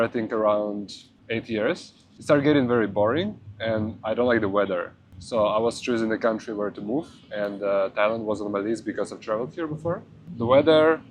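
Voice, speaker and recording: A man with a Ukrainian accent, a street interviewee who speaks in a measured, matter-of-fact way. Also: fairly low pitch, slight background noise. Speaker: interviewee, content creator.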